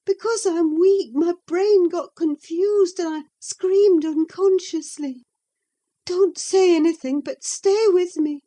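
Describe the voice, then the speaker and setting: A woman's voice: very high voice, slow, mellow, voice pushed up. A woman reading an audiobook, voicing a feverish, delirious young woman who swings between dreaminess and panic. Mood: pleading. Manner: dreamy then panicked, pleading.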